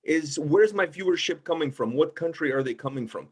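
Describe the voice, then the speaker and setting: A man with a fairly high voice talks in a casual roundtable. He is blunt and emphatic and peppers his talk with swearing. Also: animated, a little fast, slightly rough. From Portugal. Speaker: music interviewer with own video channel.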